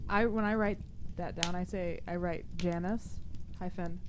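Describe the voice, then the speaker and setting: A woman's voice: lowish voice, steady tone, unhurried, crowd noise. A woman playing a tabletop roleplaying game, chatting casually and bantering.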